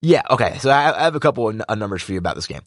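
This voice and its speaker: A young man with a slightly raspy voice, casually recapping a football game as a podcast host, rambling and correcting himself like an excited fan. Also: on the low side, racing, no echo, clean studio sound. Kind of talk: casual sports recap monologue.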